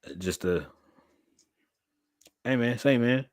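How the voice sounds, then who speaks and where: A young man, talking to his livestream chat in an animated, preacherly way. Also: mid-range voice, slow, dry recording, studio quality. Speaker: streamer and podcast host.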